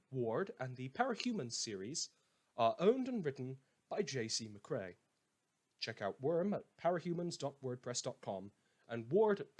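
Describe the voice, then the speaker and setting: A man with a mid-range voice reads out credits for a fan audio production. His delivery is an informal announcement from the show's own creator. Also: mid-range voice.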